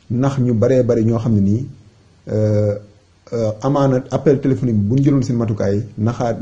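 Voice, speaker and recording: Dark, muffled Senegalese man explaining. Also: mid-range voice. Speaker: presenter of an awareness tutorial. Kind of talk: informal explainer voice-over. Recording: dull, muffled lo-fi recording.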